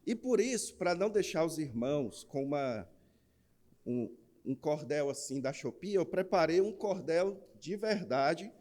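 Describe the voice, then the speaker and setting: A man's voice: a little high, a little slow. A man with a Northeastern Brazilian accent preaching in church, opening with a jokey rhymed verse before an earnest sermon. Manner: jokey rhyming recitation, then steady earnest preaching. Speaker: pastor. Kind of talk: sermon with a humorous rhymed recitation.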